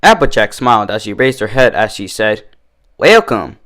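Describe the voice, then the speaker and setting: A man's voice: lowish voice, fairly quick, a bit bright. A man reading scripted story narration as a fan-fiction reader.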